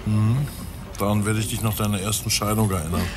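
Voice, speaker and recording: An actor in a radio play, playing a dry, methodical police detective who reasons in a wry, reflective way. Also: male voice, on the low side, steady tone, brisk, natural voice. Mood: dry, pondering. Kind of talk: naturalistic German radio play acting.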